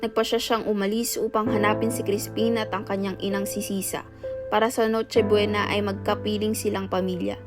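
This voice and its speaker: A woman's voice: medium pitch, level tone, moderate pace. Woman narrating over music. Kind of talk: scripted radio play narration. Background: music under the voice.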